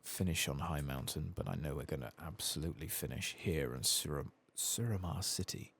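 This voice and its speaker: A soft-spoken man giving rambling ASMR commentary as he plays a game, sometimes dropping into a whisper. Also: rumbling low, fairly quick, husky, dry recording. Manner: rambling, musing to himself; often drops into whispers. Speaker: host of a gaming playthrough channel.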